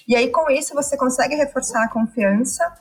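A woman's voice: high-pitched, fairly quick, breathy edge. A woman with a São Paulo Brazilian accent, explaining and telling stories conversationally in an enthusiastic way.

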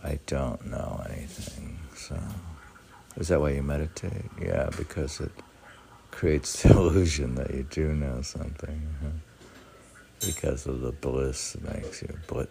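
Older male poet, very low, creaky and unhurried; rambling, self-questioning musings over faint noise. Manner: rambling, often asking and answering own questions. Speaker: poet, meditation teacher. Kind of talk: casual musing talk with short poems. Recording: faint background noise with clicks.

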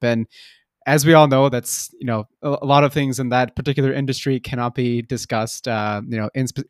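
A man's voice: mid-range voice, no echo, clean studio sound. A young man hosting an interview, asking polite, hesitant questions with fillers. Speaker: podcast host. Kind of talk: casual interview conversation.

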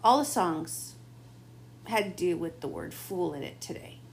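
A woman doing a late-night tarot reading, talking in a rambling, confiding way, her voice gone a bit raspy. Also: fairly low pitch, animated, unhurried, slight background noise. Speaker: tarot reader. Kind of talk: late-night spiritual monologue.

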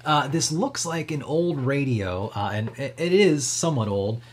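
A man with a slightly husky voice hosting a casual live tech demo, thinking aloud in a rambling, conversational way. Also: mid-range voice, animated, normal speed, slight background noise. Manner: conversational, rambling, frequent hedging asides. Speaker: livestream host demonstrating electronics projects.

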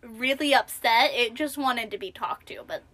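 Young woman, podcast co-host, naturally high-pitched, bright voice, chatty, self-deprecating. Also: moderate pace. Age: young adult. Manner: chatty, self-deprecating, sometimes drops to a whisper. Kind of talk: casual chat.

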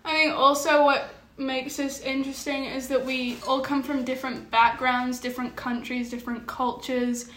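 Bright high-voiced teenage girl. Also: little pitch movement, moderate pace, few pauses. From England and New York. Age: teens. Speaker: podcast co-host. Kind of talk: casual chat. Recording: echoey room with faint ambience.

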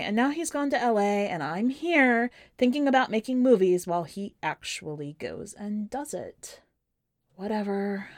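Expressive woman reading, close dry sound. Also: medium pitch, no echo. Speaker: podcast host and story reader. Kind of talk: expressive first-person short story narration.

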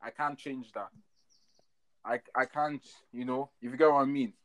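A man's voice: medium pitch, steady tone, normal speed, fairly bright tone. Rambling young Irish-born man. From Ireland. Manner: rambling, repeats "you get what I mean". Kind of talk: heated casual discussion.

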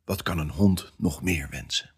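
A male actor voicing an excitable pet dog who tells his own Christmas adventure in an expressive, theatrical family audio drama style. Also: low-pitched, unhurried. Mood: warm, joyful. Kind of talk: first-person storytelling in a family audio drama.

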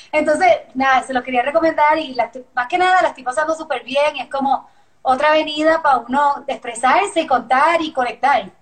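A woman's voice: sits high, moderate pace. A young woman from Puerto Rico with a Puerto Rican accent, a singer-songwriter guest chatting candidly and easily. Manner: easygoing, laughing, candid. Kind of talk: casual interview chat.

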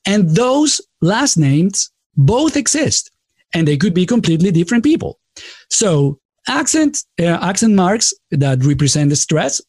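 A man's voice: high voice, sing-song, a little slow, a little mellow, slightly rough, no room sound. A man with a Latin American Spanish accent, a native Spanish speaker, talking conversationally as a podcast host, often digressing and correcting himself. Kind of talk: casual conversational interview.

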